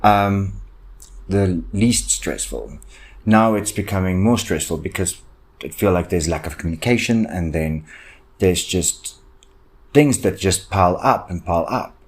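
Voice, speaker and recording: Low-voiced anxious young man rambling. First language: Afrikaans. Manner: rambling, halting, full of ums and restarts; anxious, self-reflective. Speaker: podcast host. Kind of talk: solo personal podcast rant.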